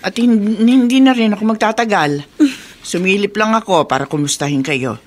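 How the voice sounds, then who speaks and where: A woman's voice: mid-range voice, normal speed, lightly acted. An actress playing a kindly, concerned elderly Filipino grandmother in a radio drama. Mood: kindly, generous. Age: older.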